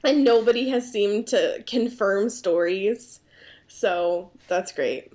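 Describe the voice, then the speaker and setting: Female podcast co-host, mid-pitched voice, casual and self-mocking, slangy and sweary, joking through book talk. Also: slow, a little mellow. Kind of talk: casual comedic book discussion chat.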